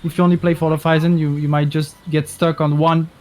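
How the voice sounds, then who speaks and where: A man co-casting a strategy game, explaining tactics in halting sentences with many restarts. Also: fairly high pitch, little pitch movement, a little mellow, a little hiss or hum. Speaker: co-caster. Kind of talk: game analysis commentary. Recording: music playing behind.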